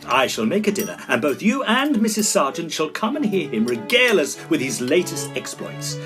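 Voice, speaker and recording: Genteel gentleman ghost hunter played by a man, sociable yet easily shaken, lively intonation, period radio-play storytelling over music. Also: a little high, normal speed. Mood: genial, composed. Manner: formal, expressive, old-fashioned phrasing. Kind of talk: scripted first-person storytelling, period audio drama.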